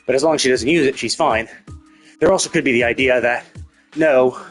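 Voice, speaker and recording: A man's voice: medium pitch, a little fast, soft background music. A man talking casually on a game livestream, thinking aloud in a rambling way and often repeating his words. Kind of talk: casual livestream commentary, speculating.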